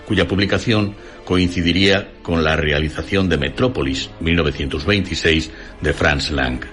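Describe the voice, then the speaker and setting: A man with a Castilian Spanish accent reading a scripted literary radio essay in a formal, measured way. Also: low-pitched, fairly bright tone. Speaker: radio documentary narrator. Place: radio studio. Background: faint music.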